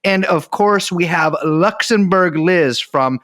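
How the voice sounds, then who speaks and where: Male podcast co-host with a slightly high voice, profane and deadpan, in quick bursts. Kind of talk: casual joking chat.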